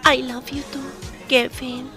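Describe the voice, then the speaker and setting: A woman's voice: high voice, little pitch movement, takes it slowly, over music. A woman playing a sweet, loving young bride in an Ilocano radio drama.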